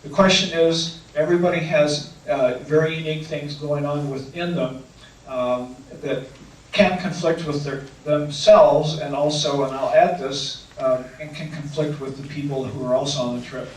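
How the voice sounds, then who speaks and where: A man's voice: fairly high pitch, steady tone, normal speed, distant mic. A man who leads canoe and kayak trips, answering audience questions in an earnest, hesitant way and restating each question before he answers. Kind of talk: talk with audience Q&A. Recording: echoey room, speaker away from mic, faint noise.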